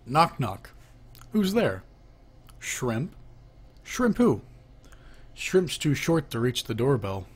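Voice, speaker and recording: Slow, husky man reading jokes. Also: mid-range voice, big pitch swings. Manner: reads each speaker's label before their line; lifts his voice for the asking half of knock-knock jokes. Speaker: reader of a joke book.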